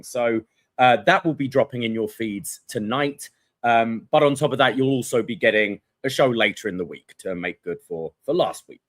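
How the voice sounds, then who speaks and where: A man with a mid-pitched voice that moves up and down in a lively way. He co-hosts a podcast in casual chat, pausing a little as he finds his words. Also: no echo. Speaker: podcast co-host.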